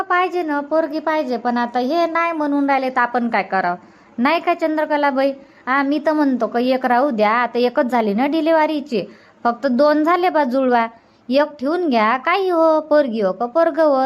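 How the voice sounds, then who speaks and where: Woman acting caring village mother, slightly high voice. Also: muffled recording, lightly acted. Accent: rural Vidarbha Marathi. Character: caring, practical village mother running the household. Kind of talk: Marathi cartoon comedy dialogue.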